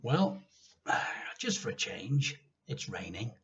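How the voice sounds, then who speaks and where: Casting: male children's story reader, unhurried and highly expressive, dramatic pauses, slightly dull home-grade recording. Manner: dramatic emphasis with deliberate pauses. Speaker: teacher reading aloud.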